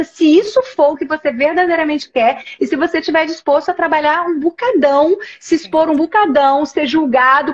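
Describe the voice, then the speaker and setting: A Brazilian woman hosting a casual conversation, talking in an animated, emphatic way with many restarts over a video call. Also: high voice, muffled recording. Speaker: host, professor.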